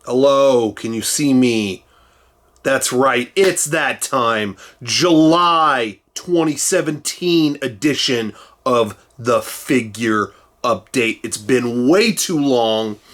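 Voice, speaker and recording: A man's voice: slow pace, a bit bright. Rambling, jokey man with a cold. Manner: rambling and jokey, with sudden jumps in pitch. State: getting over a cold. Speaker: host. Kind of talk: casual chat. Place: cluttered room at home.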